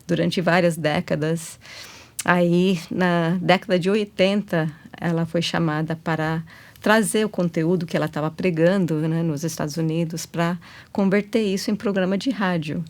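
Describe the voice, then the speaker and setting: A woman with a noticeable English accent in her Portuguese, appearing as a guest on a women's ministry talk show. Her voice sits a little low. She explains at length, pausing on fillers and correcting herself as she goes. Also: fairly even intonation. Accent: Indian-born, English-raised speaker of Portuguese. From England.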